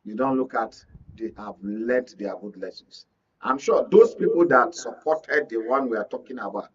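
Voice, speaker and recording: Nigerian man, political pundit, repetitive rhetorical emphasis, husky-edged unclear voice on muffled lo-fi audio. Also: mid-range voice, fairly bright tone. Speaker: media analyst, studio guest. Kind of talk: political commentary in discussion. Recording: dull lo-fi audio, distant mic.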